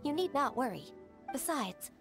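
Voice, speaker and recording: An actress playing an earnest, polite young government secretary in a video game, speaking formally and with measured courtesy. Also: female voice, high voice, sing-song, vocal fry, music in the background, heavily acted. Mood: serious, resolute.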